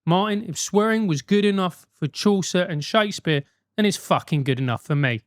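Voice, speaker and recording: South London male host, animated advice rant, loose and profane, dry close mic. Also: high-pitched, moderate pace, smooth, no room sound. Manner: animated, emphatic, swears freely.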